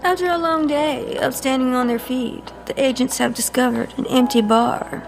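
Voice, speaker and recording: A woman's voice: sits high, fairly even intonation, moderate pace, vocal fry, music in the background, poor audio. A woman playing a teasing, ominous storyteller, narrating an audio drama in a mischievous, theatrical manner. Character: teasing, ominous female storyteller game master.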